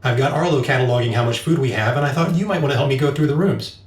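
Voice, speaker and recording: A man's voice: medium pitch, quick pace, natural voice. A man acting in an audio drama as an earnest, practical, righteous man with a matter-of-fact manner. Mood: earnest, prodding. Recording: roomy echo, music underneath.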